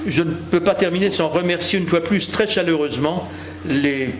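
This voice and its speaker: A man giving a formal academic lecture with measured phrasing. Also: fairly high pitch, soft background music. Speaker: historical speaker concluding a talk. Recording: echoey hall with background noise.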